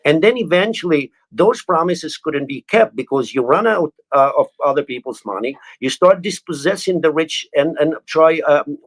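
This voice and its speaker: A man's voice: a little high, poor audio. Albanian-accented man, earnest interview guest. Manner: earnest, restarts words. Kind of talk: interview answers.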